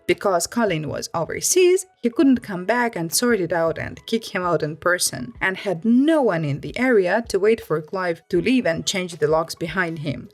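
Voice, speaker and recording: A woman narrating stories in an expressive read-aloud storytelling style. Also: mid-range voice.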